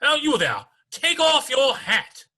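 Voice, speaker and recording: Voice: high voice, wildly swooping pitch, takes it slowly, pushed and bright, heavily acted. A performer playing an imperious, impatient royal judge who barks commands in a dramatic reading. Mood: threatening, curt. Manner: commanding, blustering. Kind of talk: dramatic reading of a classic children's story.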